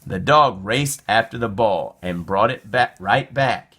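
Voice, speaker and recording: A man narrating a children's storybook in an expressive read-aloud style. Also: lowish voice, unhurried, husky.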